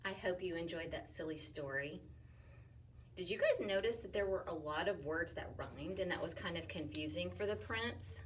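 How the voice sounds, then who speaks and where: A woman teacher talks her class through a picture book in a slow, playful and expressive way, sounding out rhyming words. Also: fairly low pitch, recorded from a distance, a little hiss or hum. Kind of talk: read-aloud story discussion for young children.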